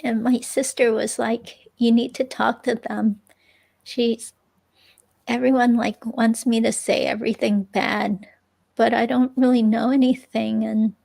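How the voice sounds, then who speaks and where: A woman's voice: a little high, little pitch movement, vocal fry, warm tone. A woman reading the part of a hesitant, chatty ex-girlfriend questioned by police in a dramatic transcript reading. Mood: friendly, uneasy. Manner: hesitant, halting, casual.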